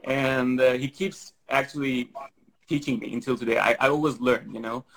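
A man's voice: mid-range voice, fairly even intonation, normal speed, vocal fry, a bit bright, low-quality recording. Rambling Syrian-raised man. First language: Armenian. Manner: rambling, many fillers and restarts. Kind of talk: casual interview answers.

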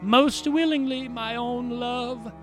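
A man's voice: high-pitched, sing-song, soft background music, voice pushed up, heavily acted. A man voicing a sobbing water-spirit woman. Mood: sorrowful. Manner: slow, sobbing, mournful.

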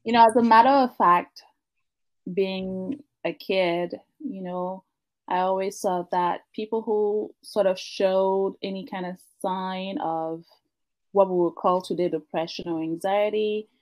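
A woman from Africa, a guest in a casual chat, talking reflectively with many pauses and restarts. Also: medium pitch, steady tone, no echo. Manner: thoughtful, many fillers and restarts.

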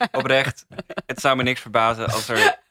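A man's voice: medium pitch, sing-song, a little slow, pushed and bright, no echo, studio quality. A man, chatting casually as a podcast co-host. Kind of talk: casual chat, reviewing podcasts.

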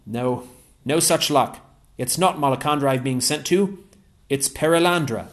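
A man voicing an eager, cheerful scholar with a warm, lively, enthusiastic manner. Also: mid-range voice, measured pace, pitched above the performer's own voice, close to an everyday voice. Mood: wistful, longing. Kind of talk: audiobook character voice.

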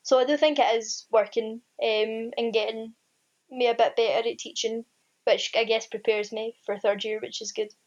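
A young woman with a strong Ayrshire Scottish accent speaks in an even, flat tone. She answers with hesitations and restarts, in the manner of a student teacher talking through her experience. The sound is dull and lo-fi, like audio from a call. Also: fairly high pitch, moderate pace. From Scotland. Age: young adult. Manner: hesitant, many ums and restarts. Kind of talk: casual interview answers.

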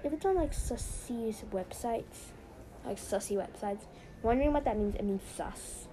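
A woman's voice: a little high, lively intonation, moderate pace, mellow, slight background noise, low-quality recording. A young woman hosting a casual podcast, chatty and rambling with lots of "so yeah". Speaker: podcast host.

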